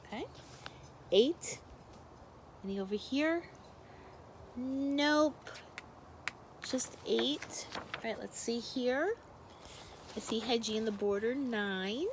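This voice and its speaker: A woman's voice: a little high, sing-song, very slow, long pauses, a little hiss or hum. A woman teacher speaking expressively to young children in a story read-aloud with casual asides. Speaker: first grade teacher.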